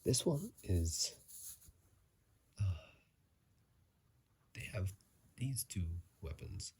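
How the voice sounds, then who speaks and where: A man doing whispered ASMR commentary on a video game, soft and breathy, musing in a halting, casual way, close to a phone mic. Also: lowish voice, sing-song, a little hiss or hum. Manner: halting, musing, unhurried delivery. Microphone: close phone microphone.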